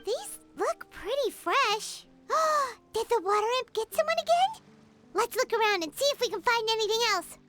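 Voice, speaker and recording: A woman voicing a tiny, excitable, skittish fairy companion with a childlike manner in a video game. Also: very high-pitched, normal speed, faint music behind, heavily acted. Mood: alarmed. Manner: speaks of herself in the third person, exclamatory.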